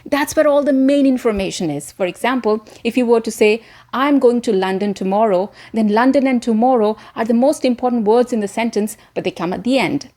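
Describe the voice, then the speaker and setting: A woman presenting an instructional talk as a voice coach, speaking directly and encouragingly to viewers with deliberate stress on key words. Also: on the high side, a little mellow.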